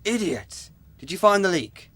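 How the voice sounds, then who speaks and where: A man's voice: high voice, sing-song, measured pace, a bit bright, dry recording, full character voice. A man playing a bossy, exasperated airport maintenance foreman, curt and scolding, in a radio comedy.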